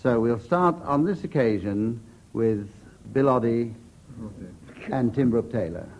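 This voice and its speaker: A man's voice: mid-range voice, animated, a little slow, darkish tone, a little hiss or hum, poor audio. A British man chairing a comedy panel show in a dry, formal, understated and rather hesitant way. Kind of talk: dry comic game-show presenting.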